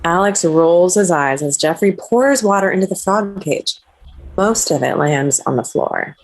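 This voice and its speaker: Lowish-voiced woman narrating a screenplay. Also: animated, measured pace, poor audio. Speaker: stage-direction narrator.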